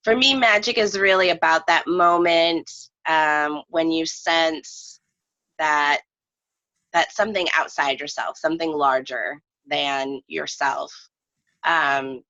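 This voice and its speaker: Low, bright-voiced woman in an echo-free, dull recording. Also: fairly even intonation, slow. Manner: hesitant, thoughtful, searching for words. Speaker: interview guest. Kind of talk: casual reflective conversation. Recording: slightly dull, echoey room audio.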